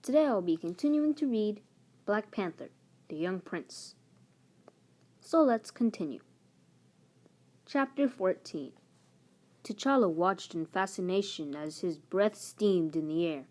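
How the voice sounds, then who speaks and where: A woman's voice: mid-range voice, animated, takes it slowly, pauses often, darkish tone. A woman hosting a read-aloud, narrating a storybook expressively.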